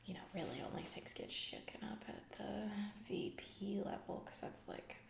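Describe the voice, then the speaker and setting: A woman playing a callous, self-absorbed, distracted female CEO in a soft-spoken ASMR comedy roleplay, breathy, rambling and trailing off. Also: mid-range voice, wildly swooping pitch, normal speed, roomy sound, full character voice. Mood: passive-aggressive. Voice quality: breathy soft voice with heavy vocal fry. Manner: rambling, trailing off, vague filler-heavy corporate talk. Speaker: ASMR performer.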